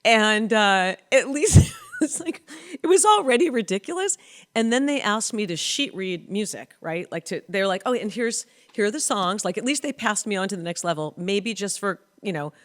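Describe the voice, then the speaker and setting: A middle-aged woman hosting a casual chat, telling stories with frequent laughter, recorded in a home studio on a boom-arm studio mic. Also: lively intonation, moderate pace. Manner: laughing, storytelling. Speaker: host. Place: home studio with a lit backdrop.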